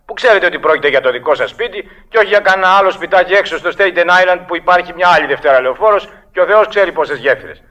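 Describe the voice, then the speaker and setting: Skeptical cop in an old radio play, bright sharp voice, kinda patronizing, dull recording. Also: male voice, sits high, expressive, hardly any pauses. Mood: sceptical, impatient. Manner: patronizing, placating. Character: unhurried, sceptical, dismissive city police desk officer. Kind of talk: radio drama acting.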